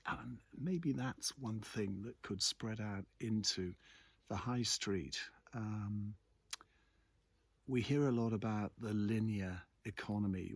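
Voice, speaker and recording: A man with a husky, gravelly voice chats casually to his followers as host, in a halting, reflective way with pauses. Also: lowish voice, fairly bright tone, no echo. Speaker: host of a local chat vlog. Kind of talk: rambling casual morning chat to followers. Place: outdoors in a churchyard.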